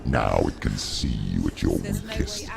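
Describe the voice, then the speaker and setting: Extremely deep, gravelly demonic voice. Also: male voice, a little slow, background noise, full character voice. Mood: menacing taunting. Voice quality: gravelly, guttural. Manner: ominous, taunting. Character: menacing dark demonic male voice taunting a woman. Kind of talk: dramatic video game acting.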